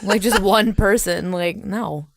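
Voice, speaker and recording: A woman's voice: medium pitch, takes it slowly, creaky, no echo, studio quality. A woman co-hosting a podcast, chatting casually in a blunt, slangy and sweary manner. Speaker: podcast co-host. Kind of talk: casual chat.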